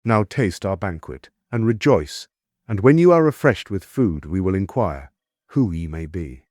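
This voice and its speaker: A man's voice: deep voice, big pitch swings, unhurried, a little mellow, dry recording, clean studio sound. An actor playing a proud, hospitable king in an epic audio drama, with stately, declamatory archaic diction. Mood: gracious, welcoming. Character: proud, hospitable fair-haired warrior king, commanding and worldly.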